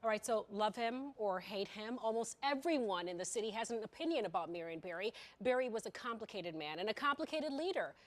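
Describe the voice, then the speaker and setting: A woman's voice: mid-range voice, expressive, fairly quick, few pauses. A woman anchoring TV news, presenting and discussing the story with a colleague in a studio.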